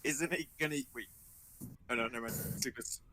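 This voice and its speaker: A man's voice: mid-range voice, moderate pace, sharp tone, a little hiss or hum, lightly acted, poor audio. A man voicing a brash, casual teenage boy devil hunter in an amateur anime fan dub. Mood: reluctant.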